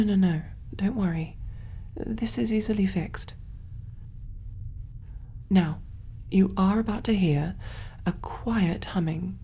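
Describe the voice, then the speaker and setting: Woman playing a hushed, mellow, kindly alien medic. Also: mid-range voice, husky. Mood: soothing, attentive. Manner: gentle, hushed, reassuring, often near whisper. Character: kind, soothing female alien medic, calm and clinical. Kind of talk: ASMR sci-fi roleplay, soft-spoken.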